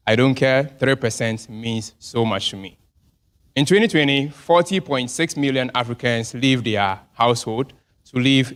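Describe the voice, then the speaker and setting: A man pitches his startup with earnest conviction, tying it to his own upbringing. His voice sits at an ordinary middle pitch, and he speaks in a persuasive, prepared way. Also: fairly bright tone. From Ghana. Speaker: startup founder. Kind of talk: pitch presentation at a live event. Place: event venue.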